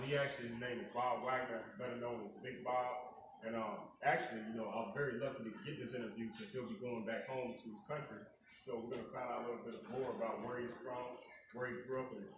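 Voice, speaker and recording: A man's voice: medium pitch, steady tone, fairly quick. A man interviewing a guest in a shopping mall, upbeat and chatty, full of fillers like "you know". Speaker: celebrity interviewer. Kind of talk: casual on-location interview. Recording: echoey, distant mic, mall noise.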